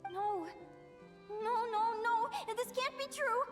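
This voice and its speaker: A woman's voice: extremely high pitch, takes it slowly. A woman voicing a curious, earnest young naturalist in a video game, asking bewildered questions. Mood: distraught. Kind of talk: game voice acting. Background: game music.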